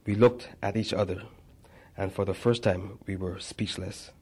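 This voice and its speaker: A man reading a personal memoir aloud, measured, with small stumbles and restarts. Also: low voice, fairly even intonation, darkish tone, slightly rough. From Barbados. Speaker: narrator.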